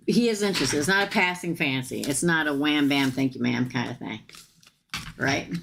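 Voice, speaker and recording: Gravelly low-voiced woman reading tarot. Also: slight background noise. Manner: chatty, addresses viewers directly. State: tired, voice going hoarse. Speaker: tarot reader. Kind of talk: live-stream tarot reading.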